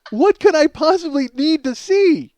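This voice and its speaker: A man's voice: very high-pitched, animated, a little slow, lo-fi sound, background noise. A middle-aged American man delivering a casual, profane comedic monologue as a podcast host, exasperated and self-mocking, addressing his listeners as folks.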